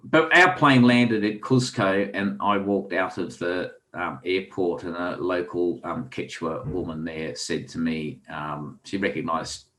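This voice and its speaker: A man's voice: deep voice, measured pace, few pauses, a bit bright, rough recording. A man with an Australian accent, a podcast guest telling long rambling fishing stories with frequent ums. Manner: rambling anecdotal, many ums. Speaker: guest angler and author. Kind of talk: casual storytelling interview.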